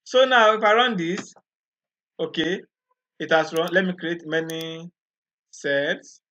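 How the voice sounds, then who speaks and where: Slow, bright-voiced man teaching code. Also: on the high side. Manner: patient, step-by-step explaining with frequent repeats. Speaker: programming tutor. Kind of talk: screen-recorded coding walkthrough. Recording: dull lo-fi recording.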